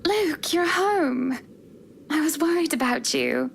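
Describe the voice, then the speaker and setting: An actress playing a gentle, worried, affectionate young wife in a visual novel game, with a creaky voice. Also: female voice, sits high, expressive, takes it slowly, slight background noise, exaggerated voice. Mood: worried, fond.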